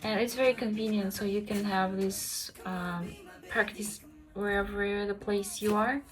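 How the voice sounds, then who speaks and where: A young woman chats casually to camera, sharing learning tips with frequent fillers. Her pitch sits in a middle range. Background music plays clearly underneath her voice. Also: fairly even intonation, measured pace, rough recording. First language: Russian. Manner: chatty, many fillers, speaks to viewer directly. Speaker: language-learning vlogger.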